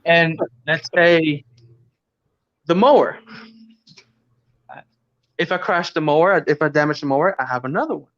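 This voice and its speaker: A man's voice: a little high, unhurried, pauses often, fairly bright tone. A man from Cuba hosting a casual livestream, rambling and joking, chuckling as he talks. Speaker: livestream host. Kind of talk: casual chat. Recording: dull lo-fi stream audio.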